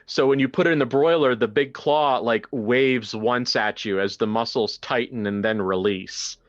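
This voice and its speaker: A man improvising horror narration and casual chat in a tabletop roleplaying game, descriptive and halting as he sets a scene. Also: medium pitch, lo-fi sound. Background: faint music.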